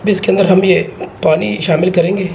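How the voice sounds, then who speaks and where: A man, hoarse from a sore throat, his voice gravelly and rough. He gives plain step-by-step cooking instructions. Clear background noise runs under his voice. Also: high-pitched, fairly even intonation, a little fast, a little mellow. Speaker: guest cook. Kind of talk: cooking demonstration. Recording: noisy background.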